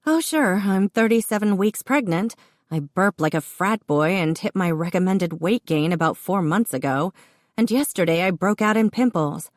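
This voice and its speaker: A woman voicing a heavily pregnant woman who teases her brother in quick, exclamatory bursts. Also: lowish voice, lively intonation, dry recording, studio quality, pitched above the performer's own voice, natural voice. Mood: chatty. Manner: teasing, exclamatory. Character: pregnant adult woman, teasing, blunt older sister.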